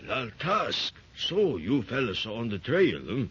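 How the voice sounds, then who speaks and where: An actor with a German accent playing a gruff, sneering German brute in a 1930s radio drama. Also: male voice, medium pitch, very animated, a little slow, fairly bright tone, heavily acted. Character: brutish German man with a whip. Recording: dull lo-fi 1930s radio recording with faint hiss.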